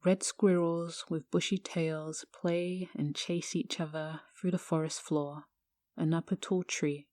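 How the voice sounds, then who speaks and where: A woman guiding a meditation in a hushed, soothing, measured manner with long pauses. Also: low voice, flat delivery, no echo. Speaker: yoga teacher guiding a meditation. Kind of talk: guided meditation narration.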